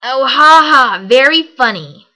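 A brash tomboy pegasus voiced by a woman, cocky and loyal, with a bright, highish voice that swings around a lot. She talks casually and boldly, as in a cartoon-style audio play. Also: animated, takes it slowly, exaggerated voice. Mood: defensive, bold. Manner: casual, bold. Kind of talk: amateur audio drama acting.

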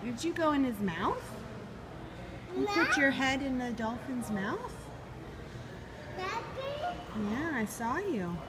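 A woman filming her toddler at an indoor mall play area and talking to the child gently in short, simple phrases. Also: high-pitched, huge pitch swings, takes it slowly, pauses often. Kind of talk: child-directed talk. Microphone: phone camera held by the off-screen speaker. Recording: loud ambient mall noise.

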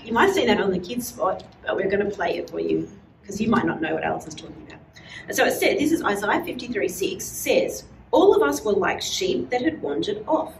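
A middle-aged woman leading a children's church lesson, explaining with animated gestures in a casual teaching chat. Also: medium pitch, brisk, creaky, slight background noise. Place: small indoor room, standing at a wall. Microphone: far camera. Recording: roomy sound from a distant camera.

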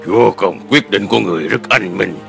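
A man playing a stern, worried old general in a dubbed historical cartoon, gravelly and formal in a martial way. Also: lowish voice, a little fast, a bit bright, faint music behind. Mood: deferential.

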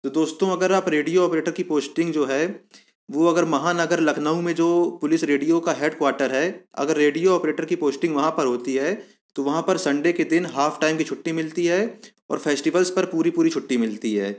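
Male explainer host, slightly high voice, informal step-by-step delivery with repeated points. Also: brisk. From India. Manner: repetitive, step-by-step explaining, addressing viewers as friends. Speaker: YouTube channel host explaining a police job.

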